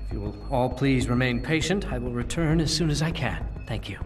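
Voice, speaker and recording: An actor playing a grieving, self-righteous leader of a political movement in a video game, with measured, formal, oratorical speech. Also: male voice, mid-range voice, expressive, faint music behind, close to an everyday voice. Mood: formal, apologetic. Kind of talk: dramatic video game acting.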